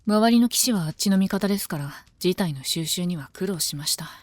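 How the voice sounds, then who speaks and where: A woman acts out a devoted female knight in an intimate audio drama. Her voice is on the low side and sits close and dry. She is composed, deferential and soft-spoken. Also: a little fast, no echo. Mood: dry, matter-of-fact. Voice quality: calm, composed. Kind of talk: Japanese voice drama, intimate scripted dialogue.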